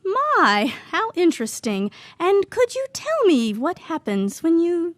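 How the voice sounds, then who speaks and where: An actress playing a polite, skeptical radio interviewer in an audio comedy, formal and easily flustered. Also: female voice, very high voice, huge pitch swings, slow, no echo, exaggerated voice. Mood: politely skeptical.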